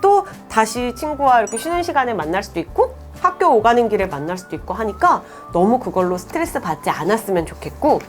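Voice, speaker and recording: A woman teacher in her thirties with a fairly high, very lively voice talks warmly and encouragingly to her students. She chats casually and laughs easily while she reads their letters. Faint music plays underneath. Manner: warm, chatty, encouraging, laughs often. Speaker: Korean language teacher. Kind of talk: advice segment, reading student letters.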